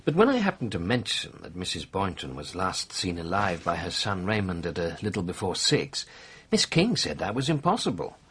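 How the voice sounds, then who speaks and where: A confident French doctor in a radio play. His low voice is very raspy and swings widely in pitch as he makes formal, exact, emphatic statements. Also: wildly swooping pitch, moderate pace, fairly bright tone, full character voice. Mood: precise, emphatic. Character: precise, confident French male doctor giving evidence. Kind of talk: radio drama acting.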